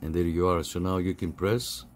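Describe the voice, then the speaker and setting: A middle-aged man giving a casual, halting, step-by-step phone app tutorial over some background noise. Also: low voice, steady tone. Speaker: tutorial host.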